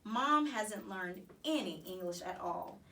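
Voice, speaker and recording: A woman voicing a shy, gentle schoolgirl who speaks in a confiding way in a storybook reading. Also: medium pitch, lively intonation, takes it slowly, far from the mic.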